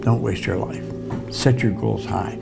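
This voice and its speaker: A man issues short, blunt motivational commands. His voice is low and gravelly. Music plays beneath him. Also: steady tone, unhurried. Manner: terse commands. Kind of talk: motivational speech.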